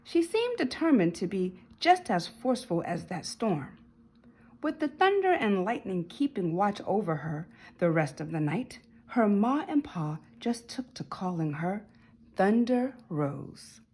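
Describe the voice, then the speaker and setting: A woman reading a children's story aloud in an expressive way, with dramatic emphasis and drawn-out words. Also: medium pitch, slightly rough. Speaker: storybook reader.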